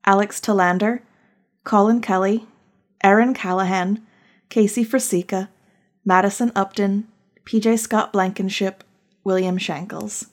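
A woman's voice: mid-range voice, fairly even intonation, measured pace, pauses often. A woman reading scripted end credits as the show's writer.

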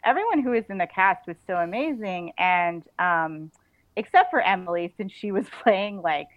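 A woman's voice: medium pitch, sing-song, normal speed, dry recording. Female author interviewee, casual and effusive, conversational delivery, dry close sound with limited bandwidth. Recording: band-limited, slightly dull audio.

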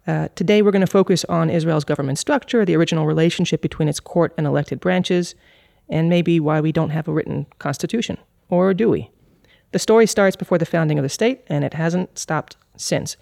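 A woman's voice: low-pitched, fairly quick, vocal fry, warm tone, dry recording, studio quality. A woman hosting a podcast, with a polished, articulate delivery. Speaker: podcast host. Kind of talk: scripted podcast intro and moderating.

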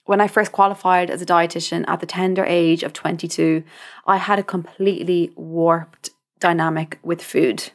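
A woman's voice: fairly low pitch, level tone, normal speed. A young adult woman with an Irish accent hosting a podcast, speaking informally and directly to the listener in a conversational monologue. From Ireland. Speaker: podcast host, dietitian.

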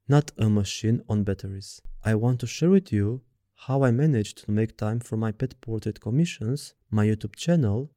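A man with a Romanian accent hosts a podcast, reading his own script aloud with careful, measured delivery. His voice is very warm and mellow, set fairly low. The recording is dry and close to the mic. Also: dry recording. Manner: reads a prepared script in an even, deliberate way. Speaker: podcast host.

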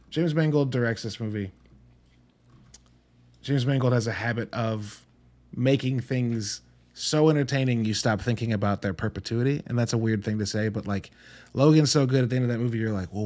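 A man's voice: medium pitch, darkish tone. A man hosting a podcast, giving a casual, conversational solo film review in a blunt, casually profane way with rhetorical asides. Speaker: podcast host reviewing films.

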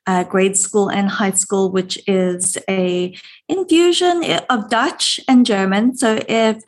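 A woman's voice: medium pitch, takes it slowly. South African woman, enthusiastic interview guest. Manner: warm, enthusiastic storytelling. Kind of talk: conversational interview.

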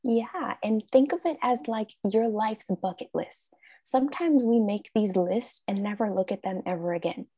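A woman's voice: medium pitch, normal speed, slightly rough, no room sound, clean studio sound. A woman life coach, a guest in an interview, talking casually and acting out her own thoughts in quotes. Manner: animated, acts out her own quoted thoughts, frequent laughs.

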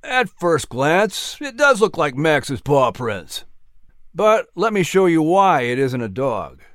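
A man's voice: a little high, very animated, takes it slowly, a bit bright, no echo, clean studio sound, full character voice. A man voicing a patient uncle who explains the woods like a teacher.

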